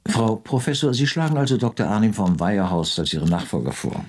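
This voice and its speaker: A man's voice: fairly low pitch, sing-song, fairly quick, husky. A man playing a pompous, moralizing company director in a radio drama, speaking in formal, lofty phrases.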